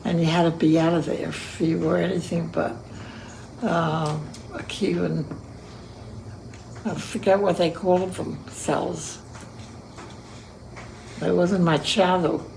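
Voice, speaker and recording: A woman of about a hundred recalling her past in an interview, speaking slowly and reminiscing, hard of hearing. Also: on the low side, steady tone, creaky, darkish tone, slight background noise, poor audio. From Cuba. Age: 100. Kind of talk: oral history interview.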